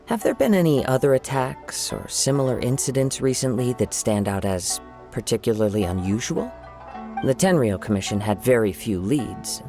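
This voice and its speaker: Gracious, gravelly-edged young swordsman. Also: mid-range voice, big pitch swings, normal speed. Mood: concerned. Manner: composed, formal, soft-spoken courtesy. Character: calm, gracious, humble young wandering swordsman. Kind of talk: video game voice acting. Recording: faint music behind the voice.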